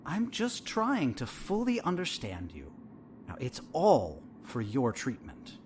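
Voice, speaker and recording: A man playing a sinister, smug therapist in a horror audio drama, measured and theatrical, with a falsely soothing, condescending manner. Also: mid-range voice, huge pitch swings, a little hiss or hum. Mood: probing, needling.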